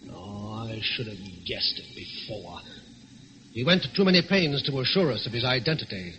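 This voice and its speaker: An actor playing a suave, quick-witted gentleman thief turned sleuth in a radio drama, polite, composed and persuasive. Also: mid-range voice, sing-song. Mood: thoughtful. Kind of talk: old radio drama acting. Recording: dull lo-fi radio recording, faint hiss.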